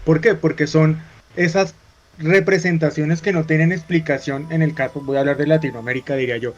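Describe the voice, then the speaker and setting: Male co-host, higher-pitched, quick examples, lo-fi audio. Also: steady tone. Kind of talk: talk show opinion. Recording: slightly dull lo-fi audio.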